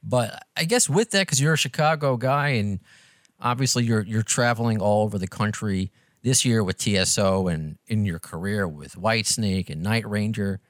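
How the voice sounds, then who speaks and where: A man's voice: on the low side, normal speed, no echo, studio quality. A middle-aged man with a New York accent, hosting a podcast interview in a chatty, self-deprecating way. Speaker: podcast host and radio presenter. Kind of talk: casual interview chat.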